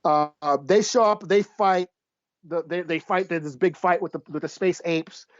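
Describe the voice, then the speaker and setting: A man's voice: fairly high pitch, fairly even intonation, moderate pace, no echo. A man hosting a casual comic book podcast, rambling and sweary as he gropes for names. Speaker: podcast host. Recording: slightly dull, dry lo-fi audio.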